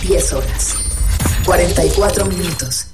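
A woman playing a calm female spaceship crew announcer in a scripted radio promo, speaking in measured announcements. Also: fairly low pitch, natural voice. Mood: calm, official. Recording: echoing voice under loud music. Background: loud music.

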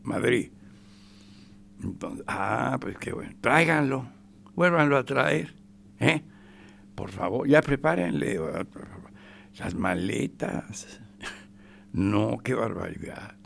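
A man's voice: medium pitch, lively intonation, slow, gravelly, pauses often, a bit bright, a little hiss or hum. A man with a Mexican accent giving sarcastic radio commentary, emphatic and repetitive, sometimes dropping to a whisper.

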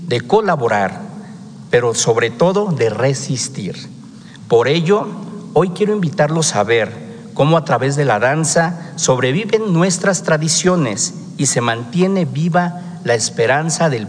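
Formal male presenter, native Mixe speaker, ceremonious delivery, hall reverb. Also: medium pitch. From Mixe region of Oaxaca, Mexico. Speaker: segment presenter.

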